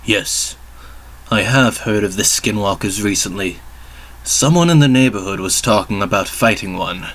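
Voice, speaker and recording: A man voicing a formal, ponderous, self-assured detective with pompous, drawn-out phrasing in a story reading. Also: fairly low pitch, fairly bright tone, poor audio. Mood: pondering.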